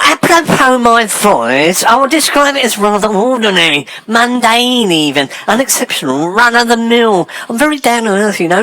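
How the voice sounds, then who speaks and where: A man playing a camp, touchy, chatty English gentleman with affected, arch airs in an old-fashioned radio sitcom style. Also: high voice. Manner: affected, arch, gossipy, indignant.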